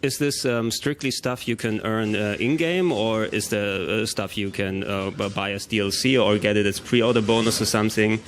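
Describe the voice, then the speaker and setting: A man asking casual, unscripted interview questions in a halting way, feeling his way through each one. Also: on the low side, steady tone, a little hiss or hum.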